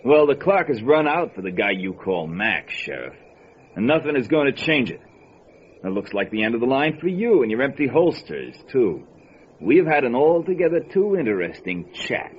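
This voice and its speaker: A menacing male gangster hitman, played by an actor in old-time radio style. He is cocky and sneering, with a very lively, swooping delivery. The recording is dull, with some noise underneath. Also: mid-range voice, normal speed, lightly acted. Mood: cocky menace. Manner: menacing, sneering, tough-talking.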